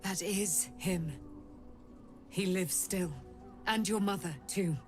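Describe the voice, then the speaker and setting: An actress playing a wise, commanding celestial messenger in a video game, speaking in grave, formal, deliberate phrases. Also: female voice, medium pitch, soft background music, natural voice. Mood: grave, probing. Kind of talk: video game dramatic acting.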